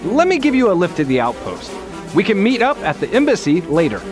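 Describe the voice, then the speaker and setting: A man's voice: high-pitched, wildly swooping pitch, a little fast, over music. A man playing a cocky young speedster superhero in a video game, joking and flippant. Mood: breezy.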